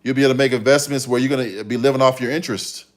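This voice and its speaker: A man's voice: medium pitch, fairly even intonation, rapid-fire. A man in his fifties hosting a livestream, chatting casually with viewers in a rambling way and often repeating himself. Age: 50s. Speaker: livestream host.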